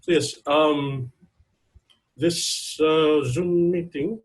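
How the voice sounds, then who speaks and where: A man with a Fijian accent and a slightly shaky voice, giving an informal talk to an online gathering with hesitant pauses. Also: a little high, low-quality recording. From Fiji, Lau islands. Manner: hesitant, pausing mid-phrase. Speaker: guest speaker, university student. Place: online video meeting.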